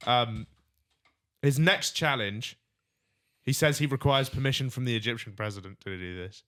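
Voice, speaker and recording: A man's voice: medium pitch, moderate pace, a bit bright. A man co-hosting a casual comedy podcast, joking around and running a quiz game. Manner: jokey, runs a quiz segment. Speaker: podcast co-host.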